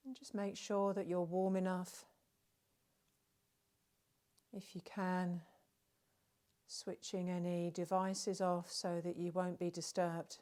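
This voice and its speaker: A woman leading a guided relaxation, speaking gently and soothingly, with long unhurried pauses between phrases. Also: lowish voice. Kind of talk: guided meditation narration.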